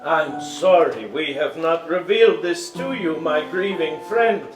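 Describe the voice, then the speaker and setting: Man acting a slow, high-voiced kindly king. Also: natural voice. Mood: grave, apologetic. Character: wounded good old king in hiding, grave, kindly. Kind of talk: staged reading of a stage musical. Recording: echoey stage with music behind.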